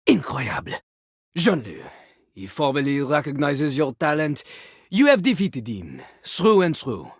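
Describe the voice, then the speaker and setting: An actor playing a boastful French talking toilet in a video game, a pompous, theatrical rapper with a French accent. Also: male voice, a little high, big pitch swings, unhurried, husky, no room sound, studio quality, heavily acted. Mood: solemn respect. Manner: pompous, theatrical, refers to himself in third person. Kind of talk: video game character acting.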